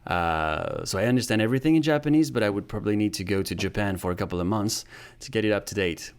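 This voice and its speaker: A man with a fairly low voice talks as a guest on a podcast. He explains his points in a thoughtful, measured way. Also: smooth. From France. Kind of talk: podcast interview.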